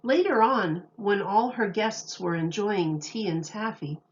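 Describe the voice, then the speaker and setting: A woman teacher reading a children's story aloud, expressively, with deliberate, emphatic phrasing. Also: medium pitch, lively intonation, dull audio, slight background noise.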